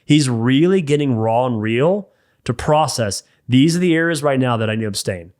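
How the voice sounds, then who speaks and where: A man's voice: medium pitch, lively intonation, moderate pace, darkish tone. A man speaking as a men's mentor, giving practical faith-based advice in an emphatic, direct way. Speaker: men's mentor and podcast co-host. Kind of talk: faith-based coaching talk.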